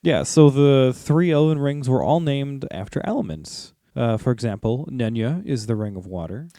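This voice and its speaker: A man's voice: mid-range voice, animated, moderate pace, warm tone, dry recording. A man co-hosting a podcast, casually discussing fantasy lore with geeky, slangy, sometimes sweary phrasing. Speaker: podcast co-host.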